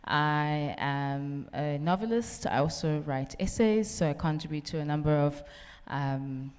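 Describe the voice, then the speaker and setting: Deep-ish woman novelist chatting on a panel, laughs a lot, big reverb hall. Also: expressive, measured pace, darkish tone, clear, resonant tone. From South Africa. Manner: chatty, laughs often, many "um" fillers. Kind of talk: panel talk and reading aloud from a novel.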